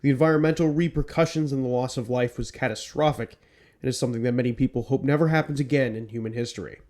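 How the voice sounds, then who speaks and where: A man hosting a one-man podcast on a home mic, his voice a little stuffed up from a cold. His pitch sits in the middle range, and he moves from read-out narration into loose chat filled with ums and restarts. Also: quick pace, a little mellow. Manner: rambling with many ums. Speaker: podcast host. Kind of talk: scripted narration then casual chat.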